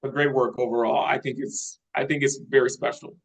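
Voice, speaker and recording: A man giving spoken critique in a measured, constructive way, organized point by point, as a participant in a script workshop. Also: medium pitch, flat delivery, poor audio. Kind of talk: spoken critique at a table read.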